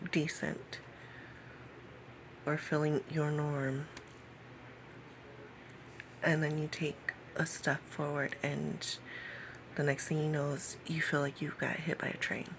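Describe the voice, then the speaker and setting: A woman in her late thirties talking personally to camera in a halting way, repeating phrases and sometimes dropping to a whisper. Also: low-pitched, level tone, a little hiss or hum, poor audio. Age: late 30s. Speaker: vlogger.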